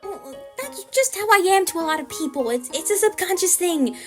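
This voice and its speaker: A woman's voice: very high voice, expressive, normal speed, soft background music, pitched above the performer's own voice. A woman playing a bashful, clingy young woman in an audio drama, flustered and stammering but reassuring. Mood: flustered, defensive.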